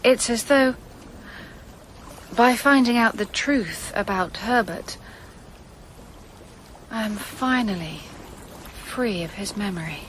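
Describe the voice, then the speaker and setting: A woman's voice: mid-range voice, slow, long pauses, a bit bright, a little hiss or hum. A woman acting in a radio drama as an earnest, grieving Victorian lady, determined and probing in her delivery. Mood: searching.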